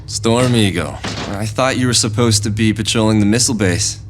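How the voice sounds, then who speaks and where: Cool, low-voiced robot hunter played by a man. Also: moderate pace, soft background music, close to an everyday voice. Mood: casual. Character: cool, matter-of-fact male robot hunter. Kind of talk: English-dubbed animation acting. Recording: noisy ambience behind the voice.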